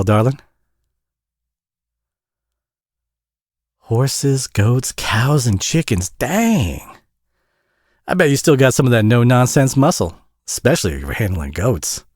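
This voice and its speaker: A man's voice: medium pitch, no echo, clean studio sound. A man acting a tired, flustered, friendly single dad with a Southern US accent in an intimate audio roleplay, rambling and stammering in a folksy, self-deprecating way. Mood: playful, warm.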